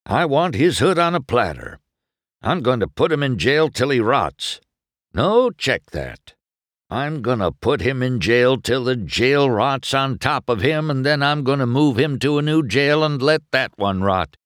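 Man as an irate, high-pitched old judge car. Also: sing-song, a little slow, a bit bright, dry recording, studio quality. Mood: furious. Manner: ranting, emphatic.

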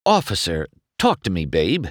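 A man's voice: medium pitch, huge pitch swings, moderate pace, fairly bright tone, dry recording, studio quality, pitched above the performer's own voice, exaggerated voice. Man playing a slick, cocky race car. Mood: smooth. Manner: smooth, breezy. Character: cocky young male race car, slick smooth talker.

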